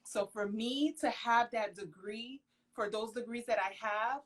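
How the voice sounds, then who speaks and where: A young woman with a fairly high voice, raised speaking Creole, answers questions in an earnest, emphatic way. She exclaims often and leans hard on key words during a casual live video chat. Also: unhurried. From Haitian household, raised in the US. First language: Haitian Creole. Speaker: professional model, interview guest. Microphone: phone on a video call.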